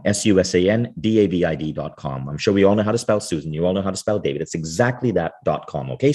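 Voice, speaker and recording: Male podcast host, husky timbre, effusive and enthusiastic. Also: sits low, warm tone. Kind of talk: podcast interview.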